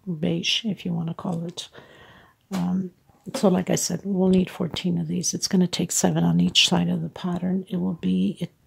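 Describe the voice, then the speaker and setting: A middle-aged woman with a congested, stuffed-up voice hosts a chatty crochet tutorial at home and thanks her viewers warmly. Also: fairly low pitch. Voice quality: husky, gravelly voice. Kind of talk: casual step-by-step craft tutorial. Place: at home, table with yarn.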